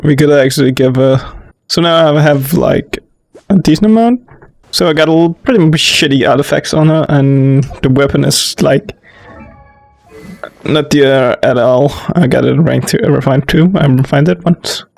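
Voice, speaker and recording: A man's voice: on the high side, moderate pace, creaky, darkish tone, no room sound. A man giving casual gameplay commentary in an informal, rambling way. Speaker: gamer, let's player.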